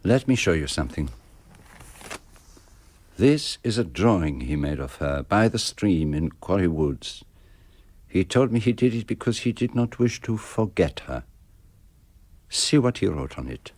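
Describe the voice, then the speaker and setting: An actor playing a shrewd, self-assured detective in a radio mystery, explaining things in a measured, deliberate way. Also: male voice, low voice, big pitch swings, darkish tone, dry recording, heavily acted. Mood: grave, knowing. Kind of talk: radio mystery drama acting.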